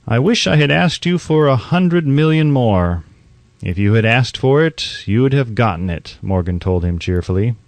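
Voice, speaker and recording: A man reading an audiobook in a measured, articulate storytelling delivery, close on the microphone. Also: mid-range voice, a little mellow, no echo. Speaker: audiobook narrator. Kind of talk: scripted audiobook narration.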